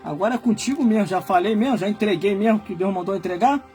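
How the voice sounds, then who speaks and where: Brazilian pastor guy in his 40s, really high voice, yells his sermon then drops to mumbling, soft music behind. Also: fast talker. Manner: swings from low muttering asides to high shouted preaching. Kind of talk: fiery Pentecostal-style sermon.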